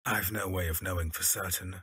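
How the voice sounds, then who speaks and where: Man acting reserved young gentleman, bright low voice. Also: fairly even intonation, brisk, husky, no room sound, clean studio sound, heavily acted. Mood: reserved, wry. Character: reserved, courteous young male musician, affectionate brother. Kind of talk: period radio drama acting.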